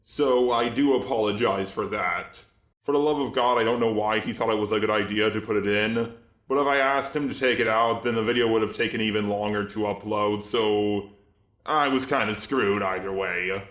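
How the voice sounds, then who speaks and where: A man's voice: fairly low pitch, moderate pace, a bit bright, echoey room. A man hosting scripted commentary in a synthetic-sounding voice, argumentative and sarcastic. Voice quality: synthetic robot voice. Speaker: video host. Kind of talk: scripted commentary on online drama.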